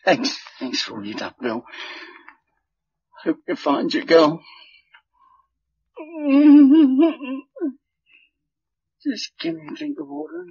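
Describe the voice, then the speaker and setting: A man's voice: extremely high pitch, very animated, slow pace, vocal fry, pauses often, darkish tone, no room sound, highs cut off, heavily acted. An actor playing a desperate dying man in a radio drama, strained and gasping between sobs and bitter laughter. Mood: anguished, delirious. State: gasping, crying, laughing feverishly.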